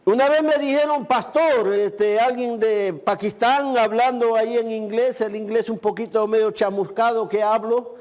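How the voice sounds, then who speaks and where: A man preaching a sermon as pastor, delivering slow, emphatic phrases and often saying "este" between them. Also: high voice, darkish tone. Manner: uses the filler "este".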